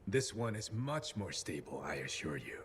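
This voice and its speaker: A smug, low-voiced, swooping theatrical scientist. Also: male voice, very animated, normal speed, a little hiss or hum. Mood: slyly persuasive. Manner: mocking, patronizing, theatrical. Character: smug, theatrical captive male scientist, eccentric and slippery. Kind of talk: video game cutscene acting.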